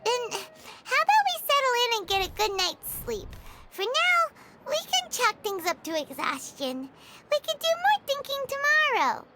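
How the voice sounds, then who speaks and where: Very high-pitched little fairy sidekick. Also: female voice, very animated, normal speed, over loud music. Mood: pensive. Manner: refers to herself in the third person. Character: tiny excitable childlike fairy companion, chatty and whiny. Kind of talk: animated video game voice acting.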